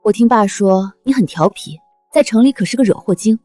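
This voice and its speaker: An actress playing a calm, caring young elder sister from a village, speaking with gentle firmness. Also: female voice, mid-range voice, fairly quick, dry recording, faint music behind, close to an everyday voice. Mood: gently scolding. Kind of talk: scripted drama acting.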